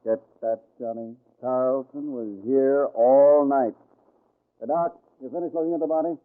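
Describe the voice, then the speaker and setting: An actor playing a confident, dismissive police inspector, assertive and matter-of-fact, in an old-time radio crime drama. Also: male voice, medium pitch, steady tone, a little slow, clean tone, close to an everyday voice. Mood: businesslike. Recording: muffled, narrow-band vintage radio recording.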